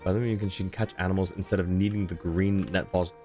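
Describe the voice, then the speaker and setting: Male game streamer, very low voice, casual and conversational, faint music underneath. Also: steady tone, fast, dark tone. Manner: conversational, reading chat comments aloud. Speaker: game livestreamer. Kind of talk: casual livestream chat, answering viewers.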